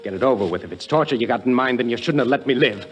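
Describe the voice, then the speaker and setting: An actor playing a tough, wary American adventurer in a 1950s radio drama, with a terse, hard-boiled delivery. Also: male voice, medium pitch, expressive, rapid-fire, close to an everyday voice. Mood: defiant. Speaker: actor and first-person narrator in a radio drama. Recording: vintage 1950s radio drama, music behind.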